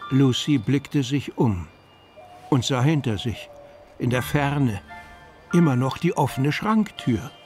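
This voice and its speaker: A man's voice: mid-range voice, expressive, slow pace, long pauses, darkish tone. A man narrating a children's fantasy radio play as a storyteller. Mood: calm storytelling. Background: music.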